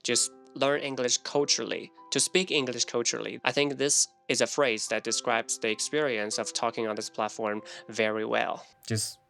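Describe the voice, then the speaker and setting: A man's voice: medium pitch, flat delivery, moderate pace, gravelly, hardly any pauses, fairly bright tone, faint music behind. A man whose first language is Chinese, talking earnestly to camera about learning English and laughing now and then between points. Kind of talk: casual scripted talk to camera.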